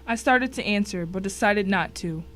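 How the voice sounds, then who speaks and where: A woman's voice: mid-range voice, moderate pace, fairly bright tone, slight background noise. A woman giving scripted first-person narration in a dramatic audio story.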